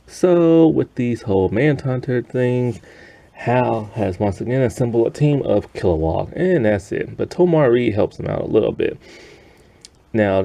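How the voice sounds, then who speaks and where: Man, deep mellow voice, casual reviewer, conversational, rambling, easily amused. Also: gravelly. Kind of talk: casual spoken review of an animated series.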